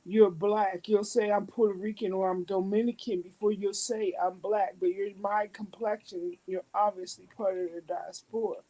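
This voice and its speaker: A woman in a casual discussion, asking a long, deliberate question. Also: medium pitch, warm tone, poor audio.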